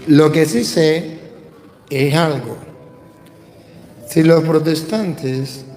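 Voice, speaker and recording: Slow, echoey Venezuelan priest preaching. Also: male voice, fairly high pitch, darkish tone. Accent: Venezuelan Spanish. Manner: didactic, emphatic, repeats phrases for stress. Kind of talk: religious teaching, question and answer talk. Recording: echoey hall with long reverb.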